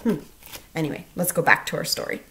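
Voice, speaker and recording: A woman teacher reading a storybook aloud to children, expressive and instructional, asking questions as she goes. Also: low-pitched, quick pace. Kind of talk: storybook read-aloud with questions to young listeners.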